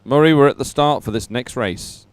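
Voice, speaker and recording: A man's voice: mid-range voice, moderate pace, no room sound. A man presenting live coverage of a sporting event to viewers, talking as a broadcast host.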